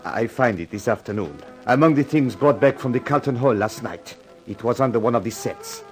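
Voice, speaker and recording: Brief: male actor for an excitable French designer, French accent, earnest and theatrical, very animated delivery, vintage radio mystery with music behind. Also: mid-range voice, a little fast, close to an everyday voice. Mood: grave. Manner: foreign phrasing, earnest, theatrical. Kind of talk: 1950s radio drama acting.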